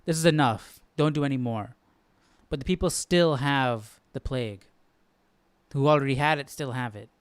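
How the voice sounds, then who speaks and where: A man's voice: medium pitch, normal speed, clear, resonant tone, dry recording. A man hosting a live Bible study, chatting informally and thinking aloud. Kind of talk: casual livestream chat.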